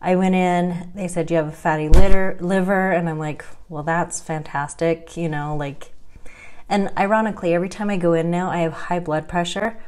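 A woman in her thirties vlogging at home into a close webcam. Her voice sits a little low and her delivery is chatty and wry, with frequent laughs and dry asides. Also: fairly even intonation, moderate pace, darkish tone. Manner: chatty, wry and self-deprecating, laughs often. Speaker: vlogger sharing her health journey. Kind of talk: casual talking-head vlog. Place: indoors at home, small room.

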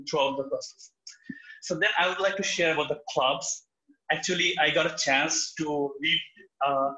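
A young man from Bangladesh with a Bangladeshi accent, speaking earnestly and haltingly with many restarts on a video call from a small room, sharing his student experience. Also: a little high, pushed and bright. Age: young adult. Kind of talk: webinar talk. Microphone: laptop webcam on a video call. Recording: echoey, dull video call audio.

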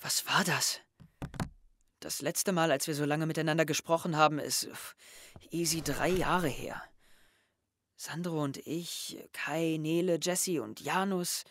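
An actor playing a brooding, hesitant teenage schoolboy in a naturalistic audio drama. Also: male voice, fairly high pitch, fairly even intonation, unhurried, fairly bright tone, slight background noise. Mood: pensive, subdued.

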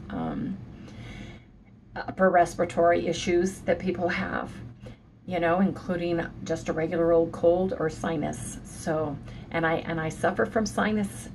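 A woman in her sixties, hoarse and a little nasal from sinus trouble, chatting casually in a morning vlog from her home office. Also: on the low side, noisy background. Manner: chatty, keeps saying "you guys". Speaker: vlogger.

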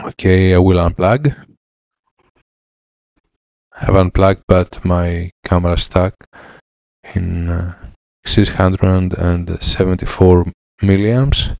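A man in his thirties with a Greek accent, a repair technician explaining a laptop fix in halting English with many fillers, on a headset mic in his lab. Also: deep voice, level tone, gravelly, a little mellow, dry recording. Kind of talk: hands-on repair tutorial. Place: electronics repair lab, indoors.

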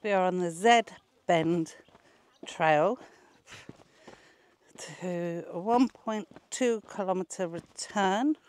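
A woman's voice: on the low side, slow pace, pauses often, a little mellow. An older woman narrating a casual travel vlog while hiking. She is out of breath, chatty and teasing, and grumbles about the heat and the flies. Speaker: travel vlogger. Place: outdoors on a hot bush trail and lookout. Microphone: wearable action camera.

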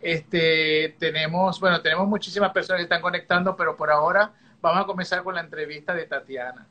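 Chatty middle-aged male co-host, bright tone. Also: fairly high pitch, steady tone, brisk, muffled recording. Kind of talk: interview hosting.

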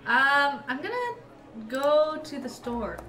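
A woman's voice: high-pitched, sing-song, takes it slowly, sharp tone. A woman in her twenties playing a tabletop roleplaying game, chatting casually and playfully in character. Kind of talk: casual in-character improvised chat. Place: indoor studio set at a game table. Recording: roomy echo with background noise.

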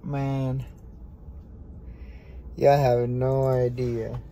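A man's voice: mid-range voice, little pitch movement, extremely slow, mellow, a little hiss or hum. A young man from the United States, chatting brashly on a phone livestream from a dim room at night, repeating himself and swearing freely. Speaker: boxer on a social media livestream. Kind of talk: casual livestream chat. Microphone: phone held close.

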